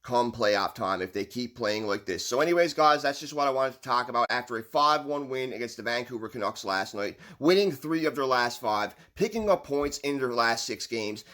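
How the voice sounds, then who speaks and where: Bright-voiced Newfoundland man talking hockey. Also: mid-range voice. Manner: earnest, upbeat, rambling with restarts. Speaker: hockey vlogger. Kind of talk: solo hockey commentary.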